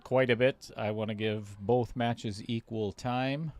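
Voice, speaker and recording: A man's voice: mid-range voice, fairly even intonation, unhurried, darkish tone. A man doing casual play-by-play commentary on a pool match for a live stream. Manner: casual, chatty, reads viewer comments aloud.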